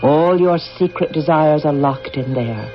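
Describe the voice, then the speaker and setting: Over faint music and dull radio sound, a calm otherworldly being acted by a man speaks in a high voice. The manner is measured and philosophical, coolly insistent, as in a vintage science fiction radio play. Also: natural voice. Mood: persuasive. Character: calm, persuasive, manipulative alien being from another world. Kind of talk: 1980s radio drama acting.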